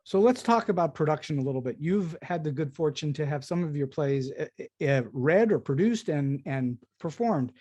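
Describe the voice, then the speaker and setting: Male podcast host, fairly high but very relaxed, warm timbre, casually feeling his way through questions. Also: normal speed, hardly any pauses. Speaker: podcast host interviewing a guest. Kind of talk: conversational interview questions.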